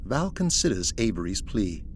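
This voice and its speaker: A man narrating a scripted audio drama in a measured, descriptive storytelling manner. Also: on the low side, sing-song, slight background noise. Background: music.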